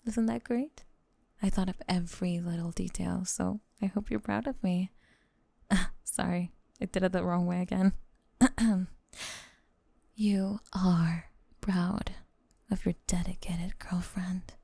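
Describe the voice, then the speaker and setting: A woman's voice: fairly low pitch, fairly even intonation, takes it slowly, vocal fry, dark tone, slightly rough, no echo, heavily acted. A woman voicing a doting, possessive snake-girl girlfriend with sweet menace, in an intimate, coaxing ASMR roleplay that often drops to a whisper. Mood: coaxing, sweetly menacing.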